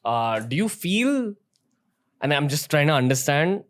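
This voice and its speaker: A man from India with an Indian accent, hosting a podcast interview in a relaxed, teasing, conversational way. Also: fairly high pitch, unhurried. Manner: relaxed and teasing, with frequent fillers. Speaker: podcast host.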